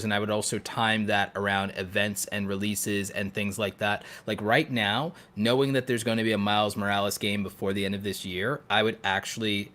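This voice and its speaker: A man's voice: fairly low pitch, fairly bright tone. A man co-hosting a casual podcast, explaining his advice enthusiastically and thinking aloud in long, listed-out points. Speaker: podcast co-host and content creator.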